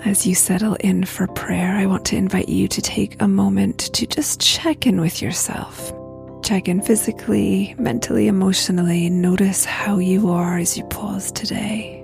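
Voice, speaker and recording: A woman guiding a prayer meditation in a soft, breathy near-whisper, with gentle, unhurried, spacious phrasing. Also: medium pitch, fairly even intonation, soft background music. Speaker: guided meditation leader, host. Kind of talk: guided prayer meditation, slow invitations.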